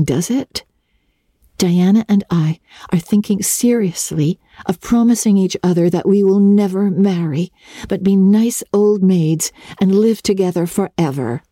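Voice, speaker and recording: A woman's voice: lowish voice, warm tone, breathy edge, dry recording, clean studio sound. A woman voicing an imaginative, talkative young girl, gushing and wistful in a dramatic storybook reading. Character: dreamy, chatty, imaginative young girl, earnest and romantic. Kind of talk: dramatic audiobook reading of classic children's fiction.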